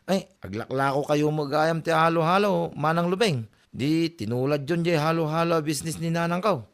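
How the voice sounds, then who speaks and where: A man's voice: a little high, moderate pace, clean tone, lightly acted. A man playing a nagging, teasing young son in a comedy drama, exasperated. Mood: chiding. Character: nagging, teasing young Ilocano son scolding his mother. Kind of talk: Filipino comedy audio drama.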